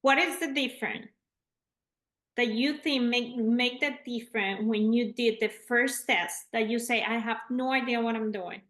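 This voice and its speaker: A woman's voice: a little high, steady tone, unhurried, a bit bright. A woman hosting an interview, reacting with enthusiasm and asking questions in slightly nonstandard English. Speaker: host, interviewer. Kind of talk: casual interview chat. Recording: echoey room.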